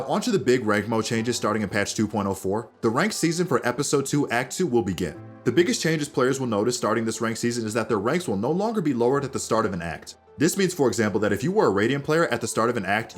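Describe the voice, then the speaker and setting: Fast-talking man hosting gaming news. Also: medium pitch. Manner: addresses viewers directly, presenter-like. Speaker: host of a gaming news show. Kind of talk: upbeat scripted gaming news narration.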